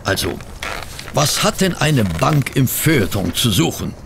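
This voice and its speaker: An actor playing a fussy, incredulous gentleman sidekick in a radio play, sputtering and exclaiming indignant questions. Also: medium pitch, big pitch swings, a little slow, vocal fry, background noise. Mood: puzzled. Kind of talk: German radio play acting.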